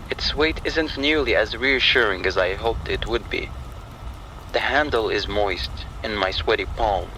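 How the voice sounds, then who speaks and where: Tense, bright-edged low male narrator. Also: low-quality recording. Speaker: horror story narrator. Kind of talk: scripted first-person horror monologue. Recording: faint rain and ambient noise. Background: rain and thunder sounds.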